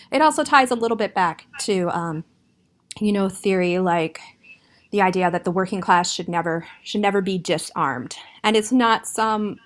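A woman speaking as an activist guest, steady and thoughtful with occasional fillers. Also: fairly low pitch. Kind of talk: casual spoken perspective.

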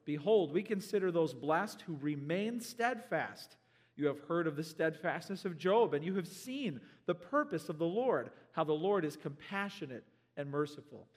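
A slightly hoarse man preaching a sermon to his church, conversational and joking, growing animated. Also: fairly high pitch, normal speed, big-room sound. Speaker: pastor. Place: church sanctuary.

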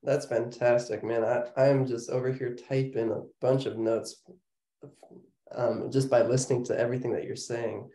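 Podcast host guy, upbeat and encouraging, warm mellow tone, echoey room. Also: mid-range voice, little pitch movement, moderate pace. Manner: enthusiastic, affirming. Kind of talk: interview podcast.

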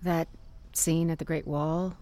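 Cool wry lady in an audio drama, really slow n measured, teasing, keeps her guard up. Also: deep voice, level tone, warm tone. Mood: wry, bargaining. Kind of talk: naturalistic audio drama acting.

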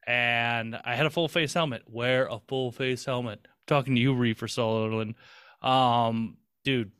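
A man's voice: mid-range voice, steady tone, moderate pace, fairly bright tone, clean tone, dry recording, clean studio sound. A man co-hosting a podcast in casual chat, rambling and swearing casually with lots of restarts. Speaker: podcast co-host.

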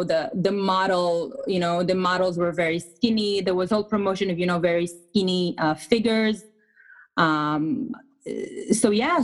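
Chatty fitness coach woman from Israel. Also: fairly low pitch. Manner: loose and chatty, many fillers. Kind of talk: casual interview conversation.

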